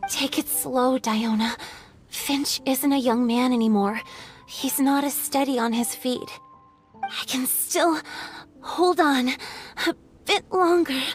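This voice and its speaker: A gentle, fragile fairy woman from a game cutscene. Her voice is high and tender, with earnest phrasing over faint music. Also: slow. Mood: frail, anxious. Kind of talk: video game cutscene voice acting. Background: background music.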